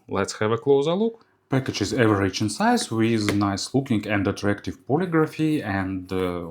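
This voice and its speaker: A man with a Ukrainian accent reviewing earphones and unboxing them, pausing often on "uh" fillers. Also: on the low side. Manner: unhurried, halting, many "uh" fillers.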